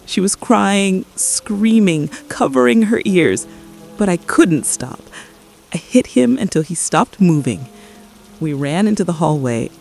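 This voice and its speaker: An actress playing a guilt-ridden, traumatized mother in an apocalypse radio drama, confessing haltingly and repeating her phrases in distress. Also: lowish voice, animated, creaky, a little mellow, soft background music. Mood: anguished, horrified.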